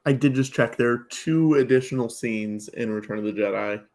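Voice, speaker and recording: A man's voice: medium pitch, normal speed, a little mellow. A man chatting casually as a guest on a film-fan podcast.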